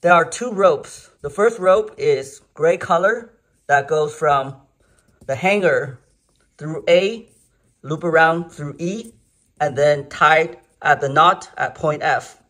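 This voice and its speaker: A man slowly and methodically explaining a garage hoist install, step by step. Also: a little high, a bit bright. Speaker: DIY instructor. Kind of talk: step-by-step how-to narration. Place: home garage.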